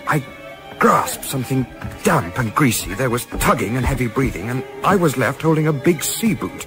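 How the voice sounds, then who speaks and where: A man playing a reflective English gentleman narrator of a sailing adventure in a radio play, with measured, literary, wry phrasing. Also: medium pitch, expressive, music in the background, natural voice. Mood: tense.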